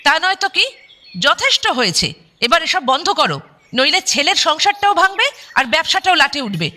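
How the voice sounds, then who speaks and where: A woman playing a sharp-tongued yet doting dead mother who speaks from her photograph, in a colloquial radio drama style. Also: high voice, sing-song, moderate pace, heavily acted. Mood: scolding. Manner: scolding, teasing, colloquial. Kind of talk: broadcast radio drama acting.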